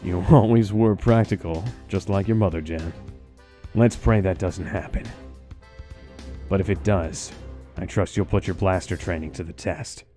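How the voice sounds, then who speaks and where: A man's voice: low voice, a little slow, dark tone. A man playing a tender, resolute scientist father in a space comic drama, earnest and dramatic, over music. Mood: tender, solemn. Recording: music under the voice.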